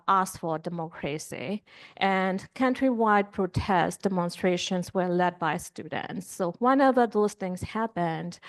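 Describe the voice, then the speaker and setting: Woman from Burma, panelist, low-pitched, earnest storyteller. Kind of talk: panel discussion answer.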